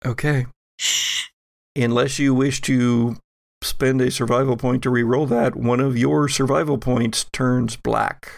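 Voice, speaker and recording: A man runs a horror tabletop game as its narrator. He speaks slowly and descriptively, with a slightly creaky, mid-pitched voice. The sound is dry and close. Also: fairly even intonation, dry recording. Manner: measured, descriptive storytelling. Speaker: game master.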